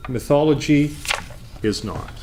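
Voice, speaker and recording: A man delivering an off-the-cuff debate speech as opposition leader, formal and deliberate, with halting phrasing. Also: a little high, lively intonation. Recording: background noise, live room.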